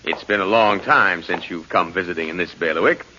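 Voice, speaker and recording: An actor playing a smooth, menacing corrupt senator in a 1940s radio drama, speaking in a courtly, unhurried, wry way. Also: male voice, sits low, natural voice. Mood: suave, measured. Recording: noisy muffled 1940s radio recording.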